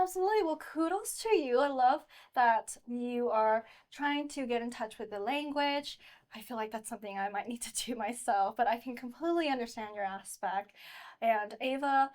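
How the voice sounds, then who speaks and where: A woman's voice: on the high side, a little mellow. A woman hosting a pageant interview, chatty, laughing often and restarting her phrases. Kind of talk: casual interview chat.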